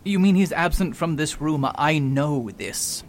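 An actor playing a proud, impatient doctor and criminologist in an amateur radio drama, brisk and skeptical. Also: male voice, on the high side, slight background noise.

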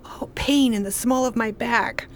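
A woman's voice: on the high side, fairly even intonation, a little slow, a little hiss or hum, close to an everyday voice. A woman playing a dry, practical, anxious pregnant wife in a radio play. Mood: dry, uneasy. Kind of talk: radio drama acting.

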